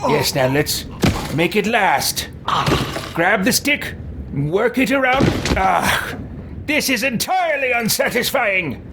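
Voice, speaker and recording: A man voicing a vindictive, sadistic adventurer in a video game, theatrical and drawn-out. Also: high voice, animated, very noisy, exaggerated voice. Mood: sadistic, petulant. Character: vindictive, petulant, sadistic male adventurer out for revenge. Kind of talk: character dialogue over a video game. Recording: loud game music behind.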